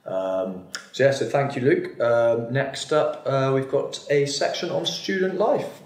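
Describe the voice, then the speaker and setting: A man's voice: medium pitch, measured pace, roomy sound. A young man hosting a university open day panel, upbeat with many fillers, filmed from across a meeting room. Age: young adult. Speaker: host. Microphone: far camera.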